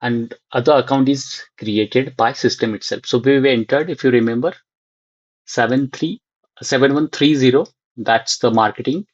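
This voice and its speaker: Husky man giving a software walkthrough, muffled sound. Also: mid-range voice, no echo. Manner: halting, thinking aloud in short fragments. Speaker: software tutorial instructor. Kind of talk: unscripted screen-share walkthrough.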